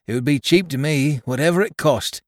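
A man's voice: fairly high pitch, a little fast, dry recording, studio quality, pitched above the performer's own voice, natural voice. A man voicing a fussy, anxious little old toymaker in a read-aloud story. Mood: anxious eager. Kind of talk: storybook character voice.

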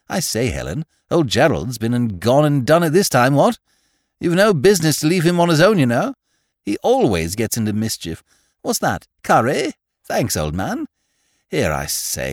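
A man's voice: a little high, dry recording, clean studio sound, pitched above the performer's own voice. A man voicing a cheerful young aristocrat with an upper-class English accent, chattering in slangy, flippant exclamations in an audiobook. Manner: chirpy, slangy, rattling on with exclamations.

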